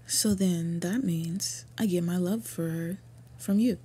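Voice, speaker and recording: Orc princess, female performer, fantasy audio drama: soft, dark-toned, very breathy voice, devoted and earnest, pausing often. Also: fairly low pitch, fairly even intonation, heavily acted. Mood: stunned. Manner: earnest, halting, tender delivery with pauses. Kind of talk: fantasy roleplay audio acting.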